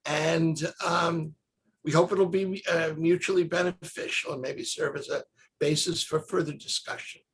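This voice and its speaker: A man's voice: a little high, fairly even intonation, measured pace, a bit bright, slightly rough, low-quality recording. A man giving opening remarks as an organizer, speaking hesitantly with fillers. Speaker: organizer introducing the panel.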